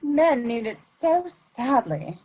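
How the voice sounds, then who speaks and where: A woman playing a sly, worldly Victorian society lady, arch and smoothly polite, in a dramatic comedy reading. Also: high-pitched, big pitch swings, slow pace, warm tone, exaggerated voice.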